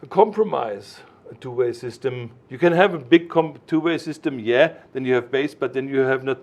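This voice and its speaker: A man explaining technical matters informally as a product designer, in a didactic, emphatic way with halting phrasing. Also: mid-range voice.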